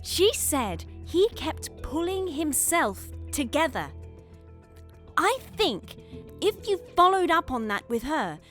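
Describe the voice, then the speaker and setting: Eccentric lady doctor for audio drama: high-voiced, highly animated, wry, relishes the gruesome. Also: slow pace, faint music behind, full character voice. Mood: eager, explaining. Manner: theatrical, wry, eager explaining. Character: eccentric female forensic doctor, excited by gruesome puzzles.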